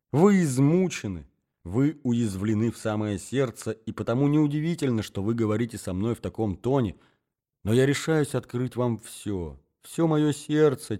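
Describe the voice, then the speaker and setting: Man voicing a soft, coaxing society lady. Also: fairly high pitch, pitched above the performer's own voice. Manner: painfully soft, reproachful, coaxing. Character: scheming, soothing society mother, feigning gentle suffering.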